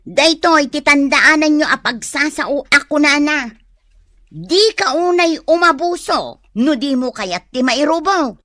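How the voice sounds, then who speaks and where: A woman's voice: sits high, expressive, measured pace, pushed and bright, dry recording, studio quality, full character voice. A woman with a Filipino accent playing a scolding, protective young wife in a comedy radio drama. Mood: firm, protective.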